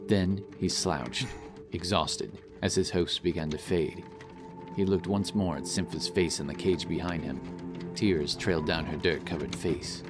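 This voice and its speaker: Man, low voice, solemn measured storyteller, scripted fantasy audiobook, prominent music behind. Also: fairly even intonation. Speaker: narrator. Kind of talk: dramatic scripted fantasy narration.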